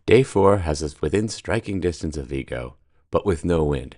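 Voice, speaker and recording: A man narrating his solo sailing trip in a dry, deadpan voice-over with wry humor. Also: a bass voice, moderate pace, very noisy. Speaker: solo sailor vlogger.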